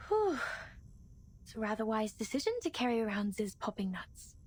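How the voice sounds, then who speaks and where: A woman's voice: on the high side, big pitch swings, normal speed, darkish tone, slight background noise. An actress playing a plucky, wry young woman who grieves her father in a video game. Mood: exasperated.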